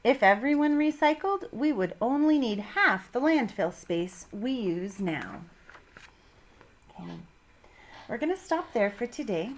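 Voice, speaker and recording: A woman's voice: fairly high pitch, sing-song, unhurried, a little hiss or hum. A woman teacher giving a read-aloud science lesson to children, with simple, instructive phrasing addressed to her pupils. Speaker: elementary school teacher.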